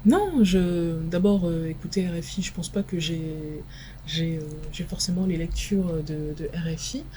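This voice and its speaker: Mellow-voiced woman, measured and halting. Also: on the low side, a little hiss or hum. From France. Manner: measured, analytical, halting with many pauses. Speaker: guest expert in international relations. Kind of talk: television panel debate.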